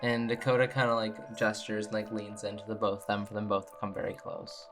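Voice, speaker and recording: A man's voice: on the low side, monotone, a little fast, music in the background. A man improvising dialogue and narration as a player in a tabletop role-playing game.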